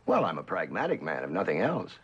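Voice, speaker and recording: A suave, wildly swooping cynical spy. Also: male voice, sits low, huge pitch swings, husky, exaggerated voice. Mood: musing, cynical. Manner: theatrical, sly, musing with dramatic pauses and chuckles. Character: suave, cynical spy, a traitorous best friend who loves the game. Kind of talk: mid-century American TV drama acting. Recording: dull old television soundtrack.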